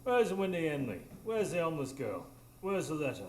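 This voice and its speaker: A man's voice: fairly high pitch, sing-song, a little fast, darkish tone. A man playing an officious, clipped, demanding police inspector in a live radio drama. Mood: stern, accusing. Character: stern, officious male police inspector with hidden insecurity. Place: small improv theatre stage. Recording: echoey, noisy live stage recording.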